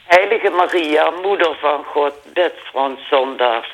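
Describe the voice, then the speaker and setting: Man with a gravelly voice saying prayer responses, phone line sound, a bit higher than usual. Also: unhurried. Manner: steady recited responses. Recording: tinny phone line with faint noise.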